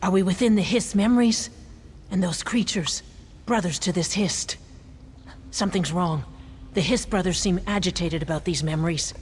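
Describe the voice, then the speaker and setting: A woman's voice: on the low side, normal speed, darkish tone, a little hiss or hum, lightly acted. A woman voicing an uneasy, thoughtful lizard-folk guide in a video game, wondering aloud with concern. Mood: pensive, uneasy. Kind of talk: video game character acting. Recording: long hall reverb.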